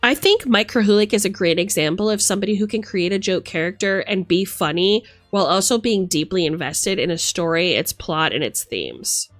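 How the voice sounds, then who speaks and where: A woman's voice: medium pitch, animated, a little fast, few pauses, dry recording. A woman streamer and game master delivering a casual, opinionated rant, emphatic, theatrical and sweary, close on the microphone. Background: music.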